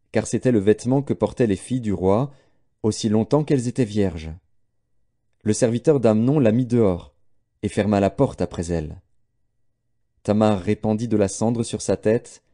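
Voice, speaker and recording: A man reading scripture aloud as scripted audiobook narration, with a dark, mellow tone. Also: fairly low pitch, normal speed, long pauses. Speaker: audiobook Bible reader.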